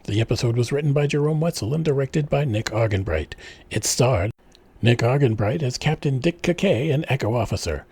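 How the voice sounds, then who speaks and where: A man's voice: medium pitch, expressive, a little fast, a little mellow, slightly rough, no echo. A man reading scripted closing credits for an audio drama as its announcer.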